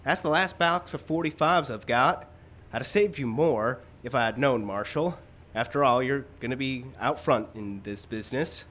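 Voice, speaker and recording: A man's voice: medium pitch, normal speed, a bit bright, close to an everyday voice. Man as earnest, anxious frontier storekeeper. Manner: earnest, urgent, folksy. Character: anxious, eager frontier storekeeper readying to fight. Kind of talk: old-time radio western acting. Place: live radio show in a park. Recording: live outdoor stage, background noise.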